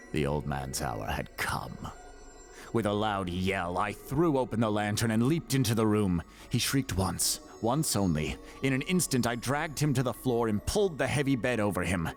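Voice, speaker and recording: A man playing an unhinged, murderous gothic narrator in a dramatic horror story reading, theatrical and deliberate, with breathy whispers. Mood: agitated, mounting terror. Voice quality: very deep, creaky, breathy whispers. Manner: theatrical, deliberate, repeating words with menace. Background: faint background music.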